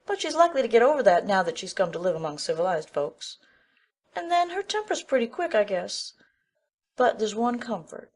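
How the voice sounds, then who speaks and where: A woman playing an outspoken, kindly but bossy older village woman who talks in blunt, folksy country speech in an audiobook drama. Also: a little high. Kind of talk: full-cast audiobook acting.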